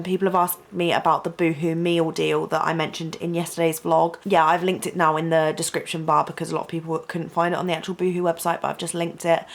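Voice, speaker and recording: Chatty young woman, low gravelly voice. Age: young adult. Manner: chatty, rambling, lots of "like" and "um". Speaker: student vlogger. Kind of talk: casual vlog chat. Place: student bedroom, indoors.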